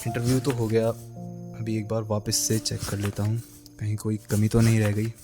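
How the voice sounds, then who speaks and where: A man's voice: fairly low pitch, level tone, moderate pace, music in the background, close to an everyday voice. An actor playing a reserved, principled male interviewer and former lover in a radio drama, speaking with restrained earnestness. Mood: subdued.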